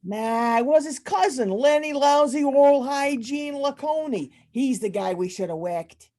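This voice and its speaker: A woman's voice: high voice, a little slow, warm tone. A woman playing an irritable, bossy Italian-American male mob boss in an amateur old-time radio comedy play, talking like an exasperated tough guy. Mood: curt. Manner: gruff, bossy, exasperated wiseguy talk.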